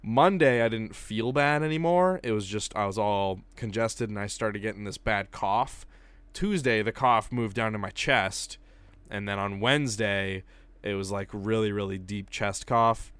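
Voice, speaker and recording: A young man co-hosting a casual podcast, hoarse from a cold, recounting things step by step. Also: lowish voice, measured pace, no room sound. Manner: tells events step by step, plain and steady. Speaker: podcast co-host. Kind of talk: casual chat.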